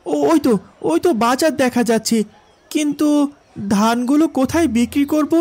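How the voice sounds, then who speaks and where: A man's voice: extremely high pitch, moderate pace, darkish tone. A man voicing Raju's part in a cartoon, a poor, hardworking and polite village boy who sounds earnest and plaintive. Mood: hesitant, polite. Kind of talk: cartoon story dialogue.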